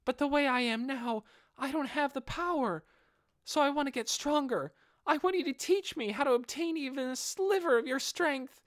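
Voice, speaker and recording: A man's voice: very high-pitched, normal speed, a bit bright, no echo, clean studio sound, voice pushed up. A man voicing an earnest teenage boy, an apprentice apothecary who pleads imploringly, in an audiobook story. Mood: earnest pleading.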